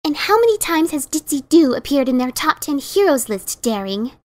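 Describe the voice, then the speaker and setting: An exasperated pegasus mare voiced by a woman in a storybook reading. Her voice is high and swoops with emphatic, scolding sarcasm. She is indignant and quick to take offense. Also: sing-song. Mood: bitter, scornful. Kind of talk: dramatic reading of a fan story.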